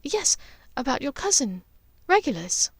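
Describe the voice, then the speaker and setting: A woman voicing an earnest young witch in an audiobook, brisk and explanatory. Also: sits high, very animated, no echo, pitched above the performer's own voice. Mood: earnest, apologetic. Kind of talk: audiobook character dialogue.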